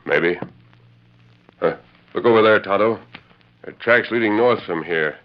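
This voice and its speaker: A man playing a resolute masked western hero, firm and measured, in a 1940s radio drama. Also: fairly low pitch, a little hiss or hum, close to an everyday voice. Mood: thoughtful. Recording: muffled old radio recording.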